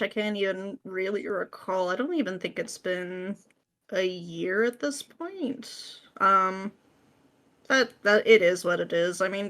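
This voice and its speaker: A woman's voice: fairly low pitch, a bit bright. A woman answering questions as a guest in a casual interview, informal and dryly self-deprecating.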